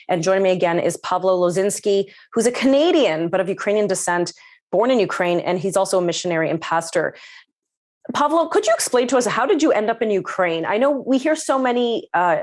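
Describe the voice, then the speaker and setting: A woman hosting a television news program, moving briskly through her questions and segment breaks. She guides the conversation with a few fillers and restarts. Her voice sits at an ordinary middle pitch. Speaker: news host.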